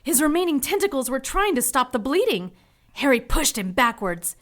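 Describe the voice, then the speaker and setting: A woman's voice: high-pitched, expressive, moderate pace. An actress playing a plucky, anxious 1940s young woman who narrates her own adventure in an audio drama, earnest and dramatic. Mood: tense narration.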